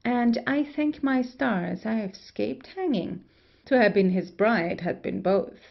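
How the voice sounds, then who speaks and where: A woman playing a wry, mischievous gentlewoman in an old comedy, with a creaky voice and teasing manner. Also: a little high, lively intonation, measured pace, highs cut off, full character voice. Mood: mock innocent. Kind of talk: Restoration comedy audio play acting.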